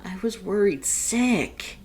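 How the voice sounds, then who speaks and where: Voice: medium pitch, animated, slow pace, darkish tone, a little hiss or hum, voice pushed up. A narrator voicing a protective, exasperated male bartender made of fire, scolding emphatically in a fan fiction reading. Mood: relieved, frantic.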